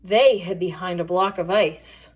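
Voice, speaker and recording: A woman storyteller reading a children's picture book aloud with expressive, deliberate emphasis. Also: fairly low pitch, breathy edge.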